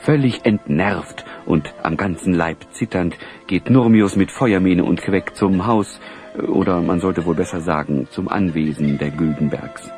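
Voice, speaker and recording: A man's voice: deep voice, a little slow, darkish tone, faint music behind. A man narrating an audio drama as a scripted storyteller.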